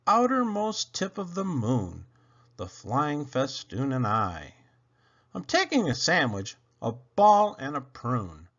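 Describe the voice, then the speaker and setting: Male storyteller for children's verse: unhurried, very lively pitch swings, theatrical phrasing, slightly dull lo-fi recording. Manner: animated, theatrical, drawn-out phrasing. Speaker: children's poetry reader. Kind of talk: expressive read-aloud of children's verse.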